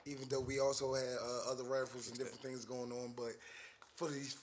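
A young man co-hosting a casual chat show, loud and full of repeated words and fillers. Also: medium pitch, little pitch movement, fairly quick, slight background noise. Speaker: podcast co-host.

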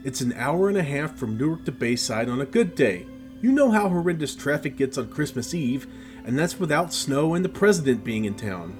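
Man playing a hurried, insistent grown son. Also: medium pitch, sing-song, soft background music, voice pushed up, close to an everyday voice. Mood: firm, exasperated. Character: weary adult son, evasive and firm with his mother.

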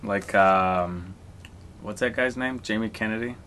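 A man co-hosting a podcast, cracking dry, deadpan jokes in casual banter. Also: fairly low pitch, unhurried, a little hiss or hum. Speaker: podcast co-host. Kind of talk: casual banter recording outtakes.

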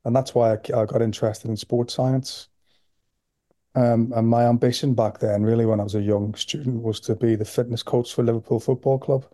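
A man in his forties with a Northern Irish accent, a professor being interviewed on a podcast, talking in a relaxed, steady way with frequent pauses and repeated words. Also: medium pitch, no room sound, studio quality. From Belfast, Northern Ireland. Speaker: guest, sports nutrition professor.

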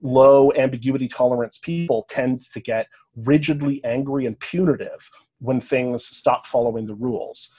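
A man's voice: mid-range voice, moderate pace, dark tone, husky. A man with a Canadian accent, speaking as a podcast guest in a casual, lecture-like discussion, thinking aloud, often checking in with "right?" and now and then swearing.

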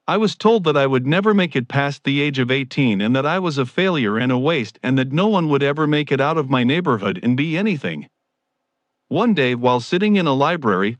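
A man's voice: medium pitch, no room sound. A man giving a scripted motivational monologue as a self-help speaker.

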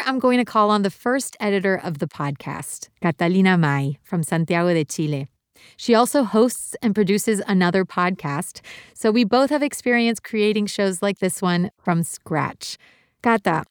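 A woman from Uruguay, the host of a podcast, reading scripted narration in a clear, evenly paced delivery. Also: mid-range voice, expressive, normal speed, no room sound, studio quality. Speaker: podcast host and executive producer.

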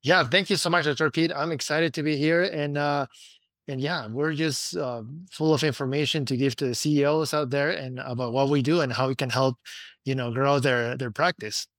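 A man from Peru, a company founder telling his story as an interview guest, animated and full of restarts and "right?". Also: medium pitch, normal speed, no echo.

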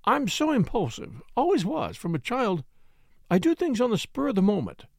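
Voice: mid-range voice, wildly swooping pitch, moderate pace, gravelly, a little mellow, no echo, studio quality, pitched above the performer's own voice, full character voice. A reader putting on a gushing, tearful lady. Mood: wheedling. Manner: fluttery, rambling, self-pitying. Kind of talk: audiobook character reading.

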